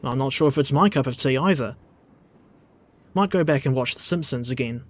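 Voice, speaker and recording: A man with a New Zealand accent reading a scripted narration in a dry, measured, digressive way. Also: mid-range voice, dry recording. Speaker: video essay narrator.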